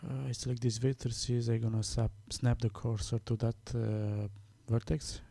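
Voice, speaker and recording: A man with a Romanian accent, Romanian his first language, talking through a software tutorial step by step, halting with many fillers as he works. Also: mid-range voice, flat delivery, vocal fry. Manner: halting, many fillers, thinking aloud while working. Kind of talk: screen-recorded software tutorial.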